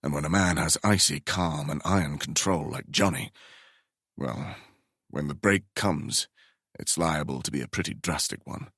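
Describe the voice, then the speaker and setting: Guy reading as a tired, grave team boss, deep and quiet. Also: normal speed, no echo, studio quality. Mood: weary. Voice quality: low, quiet and heavy. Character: weary, grave male racing team manager.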